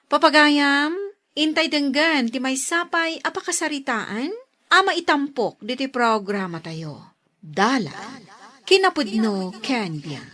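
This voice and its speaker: A woman's voice: high voice, lively intonation, slow, bright, forceful tone. A woman hosting a programme, delivering a scripted introduction. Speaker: program host.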